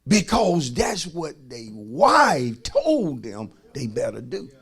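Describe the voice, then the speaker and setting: An American man preaching a fervent sermon as a pastor, raspy and gravelly, folksy and emphatic, repeating phrases and swinging from hushed asides to shouting. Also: on the high side, huge pitch swings, slow.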